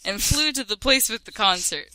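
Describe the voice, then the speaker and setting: A woman's voice: on the high side, animated, moderate pace, sharp tone, smooth, poor audio. A woman reading a parody fan story aloud with a friend, often breaking into giggles mid-sentence. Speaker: co-reader.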